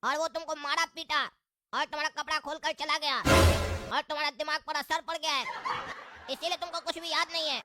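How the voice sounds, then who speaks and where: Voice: sits high, fairly even intonation, fairly quick, pushed and bright, soft background music. A performer voicing a cheeky, mocking male troublemaker in a Hindi comedy cartoon, teasing and scolding in exasperation. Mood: puzzled.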